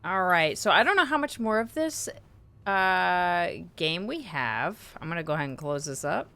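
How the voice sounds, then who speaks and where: A woman's voice: lowish voice, expressive, normal speed, sharp tone. A woman hosting gameplay videos, commenting casually while her sore throat tires her voice. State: sore throat, voice tiring from a cold. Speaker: gaming video host.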